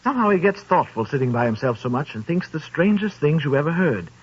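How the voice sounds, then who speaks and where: Tender poor clerk father, husky voice, 1930s radio play. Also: fairly high pitch, very animated, quick pace. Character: humble, loving poor father. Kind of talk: 1930s radio drama acting. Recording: dull old radio broadcast, from off-mic.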